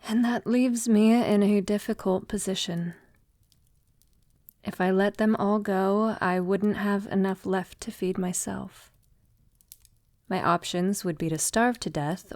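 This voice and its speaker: A woman playing a gentle, conscience-troubled vampire lover in an intimate ASMR audio roleplay, speaking softly, tenderly and hesitantly. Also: medium pitch, dry recording, clean studio sound, close to an everyday voice. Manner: soft, tender, hesitant, often dropping to a whisper. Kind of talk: ASMR girlfriend roleplay, soft intimate acting.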